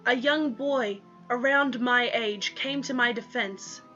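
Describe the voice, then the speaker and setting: A young woman narrates a first-person tale in a dramatic storytelling style. Her voice is fairly high and very bright. The recording is dull and lo-fi, with music playing behind her. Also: slow pace. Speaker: reader of own story.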